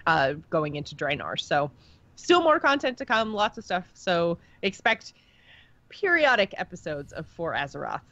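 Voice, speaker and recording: A woman with a fairly low voice talks casually as a gaming podcast co-host. She is chatty and lays out her points at length. The sound is very dry and a little muffled, with no room around it. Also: big pitch swings, normal speed, bright, forceful tone, no room sound.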